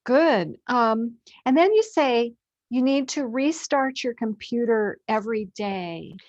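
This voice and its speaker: A woman's voice: on the high side, animated, takes it slowly, a little mellow, no echo. A woman hosting an interview, asking questions in a conversational style. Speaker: interviewer, host. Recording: dull, lo-fi audio.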